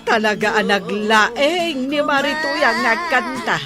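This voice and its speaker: A woman's voice: on the high side, slow. An actress playing a chatty, gossipy village neighbour woman in an Ilocano comedy radio drama. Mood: admiring. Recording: music bed under the voice.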